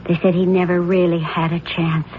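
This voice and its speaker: An actress playing a middle-aged wife in a radio drama, earnest and conversational, gently persistent in her doubts. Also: fairly low pitch, level tone, moderate pace, close to an everyday voice. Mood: concerned, doubtful. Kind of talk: 1970s radio drama acting. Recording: muffled, noisy old radio broadcast.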